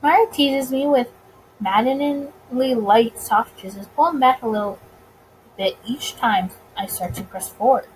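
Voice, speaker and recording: A woman with a high voice reads a visual novel aloud as a let's player. Her delivery is expressive, with dramatic pauses, over clear recording noise. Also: rough recording. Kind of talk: expressive story reading with reactions.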